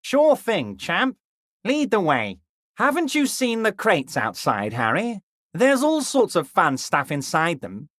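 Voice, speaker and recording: An actor playing a scheming, jovial middle-aged male union boss in a video game, with a smooth, folksy, ingratiating delivery that drops into a deep, confiding murmur. The voice is acted for the game. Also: wildly swooping pitch, takes it slowly, a bit bright, no echo, studio quality, heavily acted. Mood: genial, scheming. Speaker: union leader.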